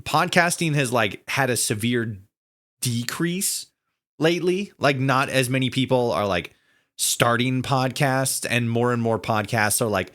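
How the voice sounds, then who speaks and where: A man hosting a casual interview, feeling his way haltingly through roundabout questions. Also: mid-range voice, a bit bright. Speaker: podcast host, interviewer.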